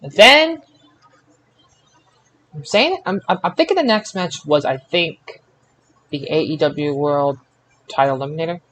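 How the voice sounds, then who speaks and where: A man with a fairly high voice hosts a casual sports recap podcast. He talks haltingly, doubling back on words as he pieces details together. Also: animated, a bit bright, slight background noise. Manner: halting, repeats words while recalling details. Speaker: podcast host. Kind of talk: casual wrestling results recap.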